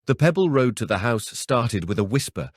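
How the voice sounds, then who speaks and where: A man's voice: mid-range voice, very animated, moderate pace, no echo, studio quality. A man narrating a horror audiobook as a scripted story reading.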